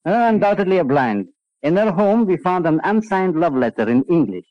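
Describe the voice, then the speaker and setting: Casting: formal, unyielding foreign official for vintage radio drama; highish voice, very expressive pitch. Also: male voice, dry recording. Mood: formal, insistent. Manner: formal, deliberate, with slightly foreign phrasing and hesitant uhs. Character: stern, courteous foreign male Balkan official, unyielding about swift justice. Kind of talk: 1940s radio drama acting. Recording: dull vintage 1940s radio recording.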